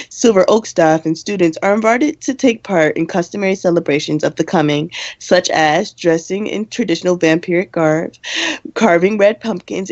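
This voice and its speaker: Teen vampire co-host for a school radio play female actor: low, matter-of-fact, sensible. Slightly dull lo-fi sound. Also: a little mellow, no room sound, lightly acted. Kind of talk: amateur radio play, mock school broadcast.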